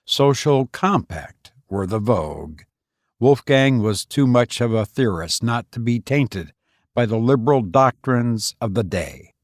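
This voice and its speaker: Male audiobook narrator, unhurried and measured, solemn literary delivery, close dry sound. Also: mid-range voice, animated, dry recording. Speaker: audiobook reader.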